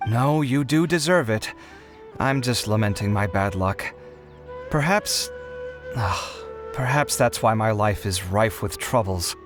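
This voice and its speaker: A man voicing a luckless, proud but earnest young man in a video game, expressive and dramatic. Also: fairly low pitch, measured pace, music in the background. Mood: self-pitying. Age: young adult.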